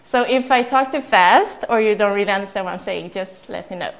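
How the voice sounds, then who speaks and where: A woman's voice: mid-range voice, a little fast, vocal fry. Nervous Swedish-accented woman in an echoey room. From Sweden. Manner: chatty, laughs often, self-deprecating. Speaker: speaker. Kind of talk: nervous live talk to a small audience. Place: room with audience.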